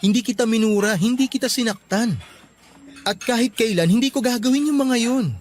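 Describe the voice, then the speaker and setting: High-voiced devoted Filipino boyfriend. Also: male voice, fairly quick, soft background music. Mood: hurt, pleading. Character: Elias, devoted young boyfriend, affectionate and pleading. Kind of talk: Filipino radio drama acting.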